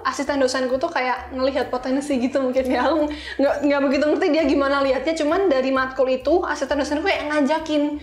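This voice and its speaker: A woman's voice: high-pitched, fairly even intonation, quick pace, few pauses. A young woman telling stories casually as an interview guest, chatty and slangy with English words mixed in. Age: young adult. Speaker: interview guest, iOS developer. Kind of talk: casual conversational storytelling. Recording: roomy, echoey sound.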